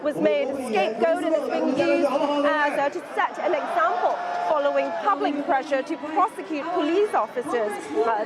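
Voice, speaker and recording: A woman news correspondent reporting from city streets in a measured, formal broadcast delivery. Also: high voice, background noise.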